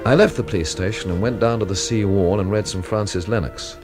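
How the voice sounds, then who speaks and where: An actor playing a wry, cynical investigator from London in a radio drama, with a dry, sardonic manner. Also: male voice, on the low side, brisk, loud music behind the voice, close to an everyday voice. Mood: wry, reflective. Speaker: lead actor and first-person narrator. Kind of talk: radio drama narration and dialogue.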